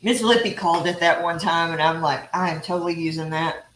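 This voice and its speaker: A woman's voice: on the low side, brisk, a bit bright, a little hiss or hum. Texan woman, home cooking host, teasing, roomy kitchen sound. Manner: chatty, teasing, laughs often. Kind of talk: casual live cooking chat.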